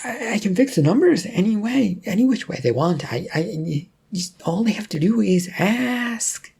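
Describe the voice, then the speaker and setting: A man voicing a timid, panicking male accountant in a story, stammering and pleading in a squeaky way. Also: animated, normal speed, gravelly, darkish tone, slightly rough, heavily acted. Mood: desperate pleading.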